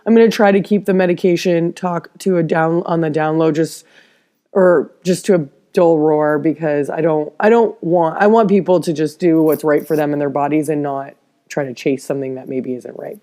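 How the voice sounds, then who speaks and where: Woman vlogging, bit stuffy, rambles and restarts a lot, lower warm voice. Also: fairly even intonation. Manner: rambling, halting, many restarts. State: stuffed-up nose, slight cough. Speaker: interior designer vlogger. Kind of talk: casual personal vlog.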